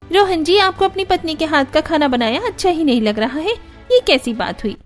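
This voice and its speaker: A woman's voice: sits high, lively intonation, brisk, soft background music, voice pushed up. A woman voicing a jealous, bossy elder sister-in-law in a cartoon family drama, snippy and complaining. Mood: indignant.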